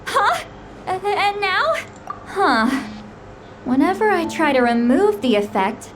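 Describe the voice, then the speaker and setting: Guarded, slow-talking anime shaman woman. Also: sits high, exaggerated voice. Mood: flustered. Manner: hesitant, halting, sighing. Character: ancient reclusive female shaman, tipsy, guarded, youthful-looking, melancholy. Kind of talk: anime video game voice acting. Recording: background music under the voice.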